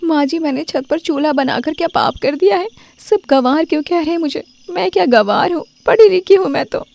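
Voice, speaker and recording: A woman's voice: medium pitch, a little fast, dark tone, dry recording, natural voice. A woman voices a proud, stubborn young village daughter-in-law in a cartoon story. She speaks defiantly, in a raised voice with a dry, close sound. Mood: indignant. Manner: defiant, indignant.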